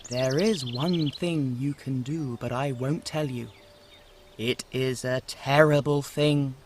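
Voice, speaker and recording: A man's voice: medium pitch, slow, a little hiss or hum, voice pushed up, close to an everyday voice. A man voicing a grave, reluctant red rose tree in a storybook, solemn and sorrowful. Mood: solemn, foreboding.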